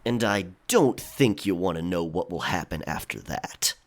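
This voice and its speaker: A man plays a pirate quartermaster for an audio drama, stern and easily exasperated. His drawl is measured and coolly menacing, with pitch that moves widely from phrase to phrase. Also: expressive. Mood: exasperated, firm. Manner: drawling, measured, matter-of-fact.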